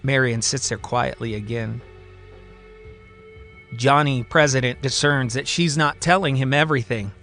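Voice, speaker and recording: A man's voice: medium pitch, unhurried, long pauses, fairly bright tone, soft background music. A man narrating a scripted science-fiction serial as a dramatic storyteller.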